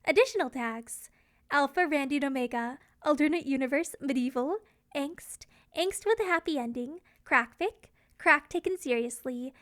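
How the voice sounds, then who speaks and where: A woman narrates in a very high register. She reads scripted fan fiction as a storyteller, with a dramatic delivery. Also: normal speed, fairly bright tone.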